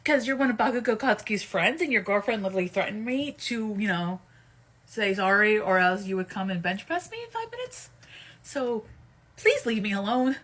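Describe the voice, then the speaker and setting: A woman playing a nervous, apologetic nerdy young man in an amateur audio drama, pleading and stammering, swearing as he goes, in a husky voice. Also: medium pitch, expressive, normal speed, fairly bright tone. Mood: nervous, defensive.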